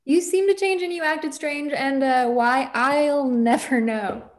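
Young woman playing defiant wannabe cowgirl, kinda high voice, earnest, reading a film script. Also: a little slow. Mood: wistful, theatrical. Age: young adult. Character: earnest, defiant girl who dreams of being a cowboy. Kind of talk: acted table read of a film script. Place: room at home, on video call.

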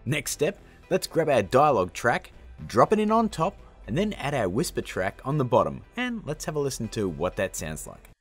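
Male how-to host, casual and upbeat, highly varied intonation, a touch of rasp. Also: sing-song, normal speed, faint music behind. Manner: upbeat, chummy, addressing viewers as guys and gang. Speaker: tutorial host. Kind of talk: casual how-to tutorial narration.

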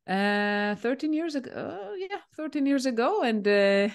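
A woman in her forties from Israel, a native Hebrew speaker, answering interview questions on a video call with a headset, pausing often with fillers. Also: fairly high pitch, sing-song. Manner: thoughtful, pauses with many "uh" fillers. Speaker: guest, leadership coach. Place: home room, indoors.

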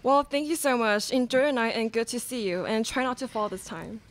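A woman's voice: on the high side, a little fast, close to an everyday voice. A young woman playing an upbeat red carpet celebrity interviewer in a live radio theatre show. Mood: polite, cheery. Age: young adult. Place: college classroom stage, indoors. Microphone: stand microphone.

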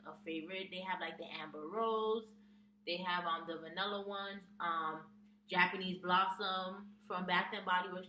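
A young woman talks casually to her camera, reading questions off her phone and filling pauses with ums. Her voice is bright and sits a little low. The sound is distant and echoey, with a faint hum behind her. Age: young adult. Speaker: vlogger. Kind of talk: casual tag video chat. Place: small room with a plain wall, indoors.

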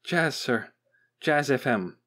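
A man's voice: medium pitch, animated, slow pace, a bit bright, voice pushed up. A man voicing a flustered, wide-eyed junior technician in an audiobook, stammering and panicky.

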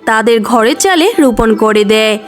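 A woman's voice: on the high side, lively intonation, brisk. A woman narrator reading a scripted story for children.

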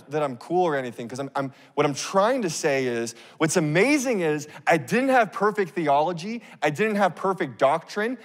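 Animated man preaching in a hall. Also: on the high side. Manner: animated, acts out scenes, casual fillers. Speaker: pastor. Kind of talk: sermon storytelling. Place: large church stage. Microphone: handheld mic. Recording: long reverb of a big hall.

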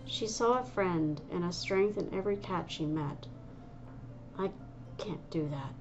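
A woman's voice: on the low side, normal speed, a little mellow, highs cut off, faint music behind, natural voice. A woman voicing Dustbriar, a stubborn young she-cat. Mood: sour. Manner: agitated, heated. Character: young female medicine cat, stubborn, sour.

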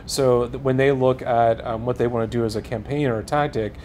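A man with a mid-pitched voice explains in corporate terms, pausing on a few fillers. He is on a studio panel, with a faint rumble behind. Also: steady tone, a little mellow. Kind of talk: panel discussion.

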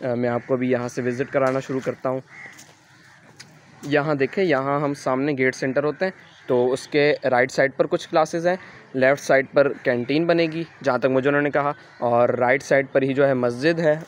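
A man's voice: mid-range voice, fairly even intonation, moderate pace, slight background noise. A young man from Pakistan with a Karachi Urdu accent, casually narrating a walking tour outdoors into a handheld phone, hesitating often with fillers. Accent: Urdu-speaking, Karachi. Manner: hesitant, thinking aloud with frequent "uh" fillers. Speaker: student vlogger giving a campus tour. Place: outdoors on a construction site. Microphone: handheld phone camera.

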